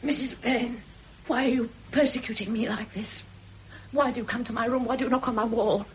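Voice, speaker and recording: A woman's voice: a little high, steady tone, brisk, close to an everyday voice. An actress playing a nervous, frightened woman who pleads in a shaky, crying voice. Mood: pleading. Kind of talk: radio drama acting. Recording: dull old radio recording, faint hiss.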